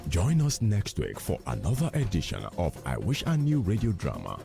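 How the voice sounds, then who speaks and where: A man's voice: low voice, huge pitch swings, moderate pace, gravelly. A man reading a scripted radio programme announcement as the announcer. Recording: loud music bed behind voice.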